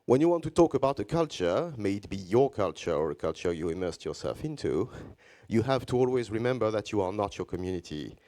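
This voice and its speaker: A Belgian French man with a very low voice gives a conference talk in English. His delivery is measured and deliberate, with regular pauses between phrases. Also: sing-song, mellow. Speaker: conference speaker, journalist. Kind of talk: conference presentation.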